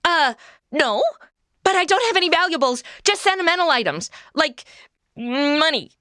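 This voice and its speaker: A woman's voice: sits high, big pitch swings, normal speed, pushed and bright, no room sound, studio quality, heavily acted. A woman playing a sarcastic, wisecracking modern young woman in an old west comedy audio drama. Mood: wry. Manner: sarcastic, quippy. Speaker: title character.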